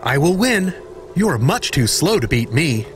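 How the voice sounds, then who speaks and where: An eager robot dog in a game. The voice is highish for a male, animated and childlike, with faint music behind. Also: normal speed. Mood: eager, playful. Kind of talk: video game character acting.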